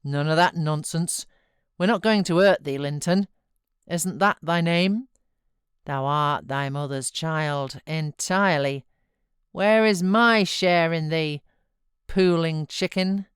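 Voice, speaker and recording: A woman's voice: sits low, slow pace, clear, resonant tone, no echo, clean studio sound. A woman voicing a grim, sneering father in an audiobook, with mocking, domineering exclamations. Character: grim, sneering, scornful man, harsh father. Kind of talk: audiobook character voice.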